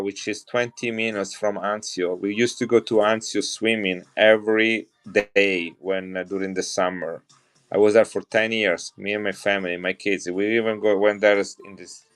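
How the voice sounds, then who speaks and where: A middle-aged man with an Italian accent and a fairly low voice talks to his live-stream viewers in a chatty, enthusiastic way. Faint music plays behind him. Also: steady tone, normal speed. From Italy. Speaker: coin seller on a live stream. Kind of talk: casual live-selling chat.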